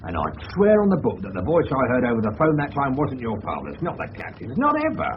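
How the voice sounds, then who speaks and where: Chatty suburban English neighbour, colloquial, very varied pitch, noisy old radio play. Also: male voice, huge pitch swings, fast talker, narrow, tinny audio. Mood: suspicious, insistent. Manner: colloquial, hesitant, homely. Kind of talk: 1970s radio drama acting.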